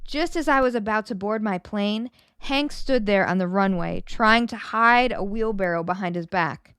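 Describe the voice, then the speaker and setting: Female storybook reader, smooth and clean close sound, expressive delivery with stretched, emphasized words. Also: mid-range voice, no room sound. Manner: animated, dramatic storytelling with drawn-out emphasis. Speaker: children's story reader and host. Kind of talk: expressive children's storybook read-aloud.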